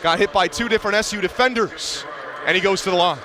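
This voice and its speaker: A man's voice: high voice, quick pace. A man calling live basketball play-by-play in clipped, vivid running description, following the action moment by moment. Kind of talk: live sports commentary. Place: courtside in a large indoor arena. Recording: loud arena crowd noise behind voice. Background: crowd and bouncing basketball.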